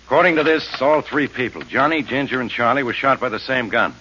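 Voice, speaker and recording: A man's voice: medium pitch, lively intonation, fairly quick, pushed and bright. An actor playing a brusque, irritable police inspector in a 1940s radio drama, snapping his words out. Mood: exasperated. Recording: muffled old radio broadcast, faint hiss.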